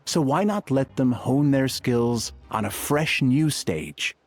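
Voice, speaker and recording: A man's voice: mid-range voice, lively intonation, slow. An actor playing a reasonable, persuasive male tribe chief in a video game.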